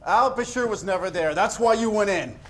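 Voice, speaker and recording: A man's voice: sits high, a little fast, fairly bright tone. An actor playing a hostile male interrogator who needles his prisoner with sarcasm.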